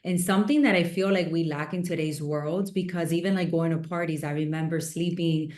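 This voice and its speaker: A woman's voice: low-pitched, steady tone, a little fast, darkish tone, echoey room. A woman from Miami co-hosting a podcast, chatting casually and telling stories with lots of fillers. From Miami, USA. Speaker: podcast co-host. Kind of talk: casual chat.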